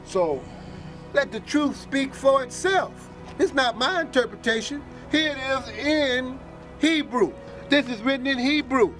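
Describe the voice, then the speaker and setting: Casual: really high-pitched guy, confident, explaining stuff. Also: expressive, measured pace, a bit bright, soft background music, poor audio. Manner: assertive, explanatory. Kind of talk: explaining and interpreting.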